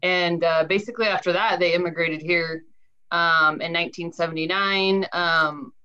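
Bright, smooth woman on dull call audio. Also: on the low side, normal speed. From California. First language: Portuguese. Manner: steady, filler-heavy with many ums. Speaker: guest panelist. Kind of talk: casual self-introduction on a video call. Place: office, indoors. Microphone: video call webcam.

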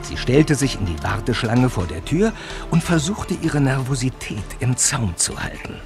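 A man's voice: medium pitch, sing-song, moderate pace, vocal fry, music in the background. A man reading scripted narration for an audio drama.

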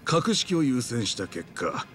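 A man's voice: mid-range voice, sing-song, fairly bright tone, faint music behind. An actor playing a courteous, composed male chef of a traditional restaurant in a Japanese game dub, speaking politely and with measure. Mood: humble, reflective. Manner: measured, polite shopkeeper speech.

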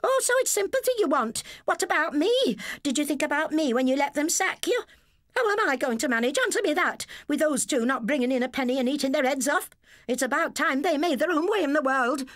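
An English woman voicing a sharp, bitter stepmother in a storybook, nagging and indignant. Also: high-pitched, animated, brisk, dry recording, clean studio sound, voice pushed up. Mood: angry nagging.

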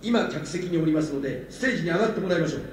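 Male actor as excited film producer, radio drama, formal showman delivery, very echoey hall, fast. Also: a little high, background noise, natural voice. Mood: formal, proud. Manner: formal, projecting showman's announcing. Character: French film producer presenting a star to an audience, showy, excited. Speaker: film producer addressing an audience. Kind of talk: radio drama stage announcement. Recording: echoey hall, distant from mic.

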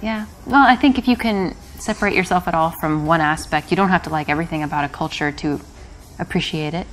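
A woman with a low voice plays the date in a live-action dating game. She answers in a calm, patient and earnest way, and faint music plays behind her. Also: fast talker, low-quality recording. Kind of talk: scripted acted dialogue.

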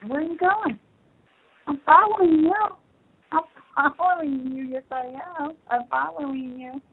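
A woman's voice: high-pitched, steady tone, a little slow, no room sound. Mature woman on a tinny phone line. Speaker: mother on a phone call, minding a baby. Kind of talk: casual family phone chat.

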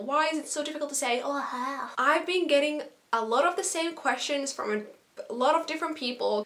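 A woman's voice: on the high side, a bit bright. Bubbly young Finnish woman vlogging. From Finland. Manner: chatty and earnest, with little laughs. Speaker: YouTuber. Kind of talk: casual talk to camera. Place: small bedroom, indoors. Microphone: camera in front at close range.

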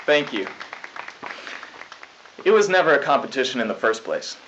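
A man's voice: medium pitch, sing-song, moderate pace, crowd noise. A young man anchors a school news show from a studio desk with a smug, upbeat delivery. The recording sounds dull and lo-fi, like old tape, with a faint hiss behind him. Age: young adult. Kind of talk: scripted school news broadcast.

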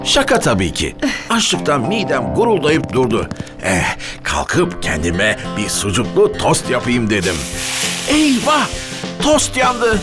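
A man's voice: medium pitch, wildly swooping pitch, slow, music in the background. A man voicing a playful, sheepish, food-loving father in a children's cartoon, comic and dramatic. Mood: sheepish joking. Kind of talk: animated children's cartoon acting.

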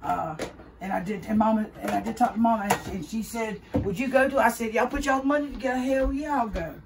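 A woman being interviewed in a casual chat, joking around and trailing off into drawn-out fillers. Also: on the high side, creaky, noisy background.

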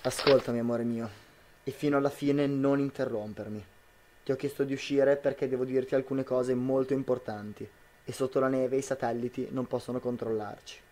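A man's voice: medium pitch, level tone, fairly quick, mellow, slight background noise, natural voice. A young man playing a troubled, disbelieving husband in an amateur radio drama. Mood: earnest, troubled. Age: young adult.

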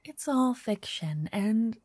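A woman playing a wealthy, romantic vampire writer in an intimate ASMR roleplay, speaking softly and breathily, often whispering, with an elegant, flirtatious manner. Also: a little high, lively intonation, slow pace, noisy background. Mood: musing. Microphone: close microphone in a dry, quiet space.

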